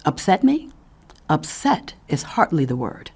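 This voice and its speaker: Defiant, husky low-voiced woman. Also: sing-song, a little slow, darkish tone, full character voice. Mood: indignant, sardonic. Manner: indignant, pointed, emphatic. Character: defiant, sardonic woman neighbor, standing her ground. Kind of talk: audio fiction drama acting.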